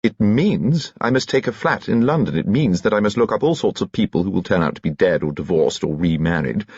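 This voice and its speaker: A man's voice: low-pitched, a little mellow, slightly rough, no room sound, lo-fi sound, full character voice. A man voicing a spirited, teasing elderly society mother who talks emphatically. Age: older. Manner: brisk, emphatic, playful.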